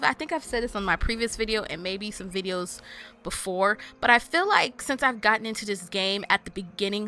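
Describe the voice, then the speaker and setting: A woman's voice: mid-range voice, bright, forceful tone. A woman gaming host giving casual, chatty, informal commentary to her viewers while she plays.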